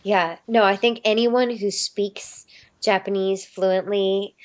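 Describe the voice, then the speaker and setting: A woman's voice: medium pitch, fairly even intonation, slow. A woman being interviewed as a guest, talking in a thoughtful, friendly way and laughing often. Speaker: voice actress guest. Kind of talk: casual interview chat.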